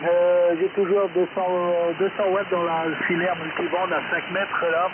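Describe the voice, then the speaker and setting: A very noisy, hissing radio signal carries a man's high voice, squeezed thin and narrow. He is a ham operator from Saint-Pierre and Miquelon, chatting in a rambling, hesitant way into his transceiver mic. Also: little pitch movement, measured pace. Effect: shortwave radio transmission. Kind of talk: unscripted ham radio exchange. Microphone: radio transceiver microphone.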